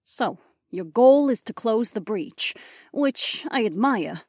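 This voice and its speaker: A proud, sharp-tongued she-wolf leader played by a woman in a fantasy audiobook. She speaks in an assertive, articulate way, with a medium pitch and a close, dry sound. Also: lively intonation, takes it slowly, husky, no echo, full character voice. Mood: cool, questioning. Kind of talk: audiobook character dialogue.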